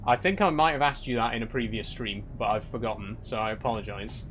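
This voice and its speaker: A man's voice: medium pitch, fast talker, a bit bright, a little hiss or hum. A young man from Yorkshire with a Yorkshire accent, chatting casually on a live stream as he reads out viewer comments, with many restarts. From Yorkshire, England. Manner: chatty, with frequent restarts and light wry teasing.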